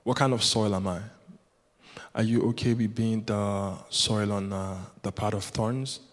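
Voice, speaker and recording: A man's voice: lowish voice, fairly even intonation, measured pace. A man whose first language is Yoruba, preaching a sermon as a guest preacher in an earnest, rhythmic way that builds with repetition. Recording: long hall reverb.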